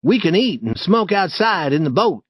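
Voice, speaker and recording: A man voicing a bold, eager boy who leads an adventure, in a raised, boyish pitch with lively storybook acting. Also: moderate pace, husky, no echo, full character voice. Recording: dry, slightly dull recording.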